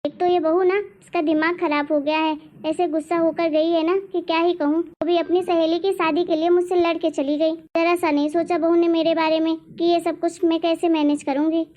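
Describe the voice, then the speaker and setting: Woman as a shrill, fretful cartoon mother-in-law. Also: flat delivery, moderate pace, hardly any pauses, a little mellow. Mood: grudging. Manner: fretful, nagging. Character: anxious, complaining older mother-in-law with aching knees. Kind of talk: Hindi cartoon family drama voice acting.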